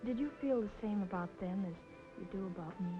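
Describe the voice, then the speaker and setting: A woman's voice: mid-range voice, fast talker, close to an everyday voice. An actress playing a devoted young working woman in love, earnest and plaintive, in old film noir style. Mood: yearning, earnest. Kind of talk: film noir melodrama acting, mid-century. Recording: muffled old film soundtrack with music.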